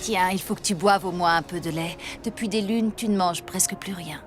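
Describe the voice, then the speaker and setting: A woman playing a devout, gentle wife and mother of biblical times in a dubbed animated Bible story. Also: on the low side, moderate pace, over music, lightly acted. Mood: concerned, wistful.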